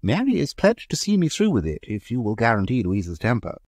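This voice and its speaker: A man reading a story aloud, voicing a sardonic young gentleman, polite but contemptuous. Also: medium pitch, wildly swooping pitch, a little fast, no echo, studio quality, voice pushed up.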